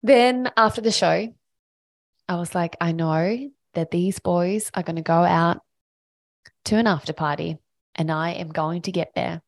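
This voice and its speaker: A woman's voice: fairly low pitch, unhurried, gravelly. A woman from Sweden hosting her own podcast, telling a personal story in an enthusiastic, motivational, chatty way. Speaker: podcast host.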